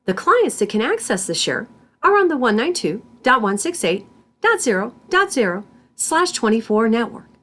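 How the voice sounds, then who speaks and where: A woman instructor reading scripted tutorial narration in a deliberate, step-by-step manner. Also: a little high, sing-song, a bit bright. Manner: deliberate, spells out terms symbol by symbol.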